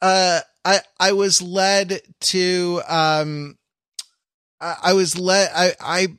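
A man's voice: a little high, pushed and bright, dry recording, clean studio sound. A man co-hosting a casual comic panel chat, telling stories in a wry, rambling, digressive way. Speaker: podcast co-host.

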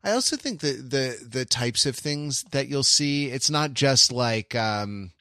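A man with a mid-pitched voice chats casually in a very dry, close recording. He speaks haltingly, circling back and restarting as he rambles, with a wry, self-deprecating touch. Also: expressive, sharp tone, no echo. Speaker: podcast host. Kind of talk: casual chat.

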